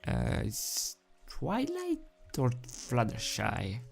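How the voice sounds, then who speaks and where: A man's voice: mid-range voice, wildly swooping pitch, extremely slow, creaky, faint music behind, heavily acted. A man voicing a confused, injured pegasus stallion with a hesitant, bewildered manner in a fan story reading.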